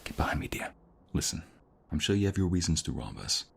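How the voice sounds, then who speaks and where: A man playing a protective, flirtatious ex-mobster husband in an intimate ASMR roleplay, tender but menacing, with breathy murmurs and a teasing manner. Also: lively intonation, brisk, exaggerated voice. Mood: protective, threatening. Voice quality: deep, gravelly, often breathy murmur. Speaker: voice actor.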